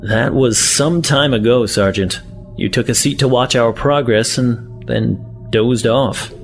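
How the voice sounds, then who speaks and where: An actor playing a condescending, impatient professor villain in an audio drama, sardonic and falsely friendly. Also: male voice, medium pitch, takes it slowly. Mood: smooth, mock-friendly. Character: scheming, impatient male professor, coldly menacing villain. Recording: music bed under the voice.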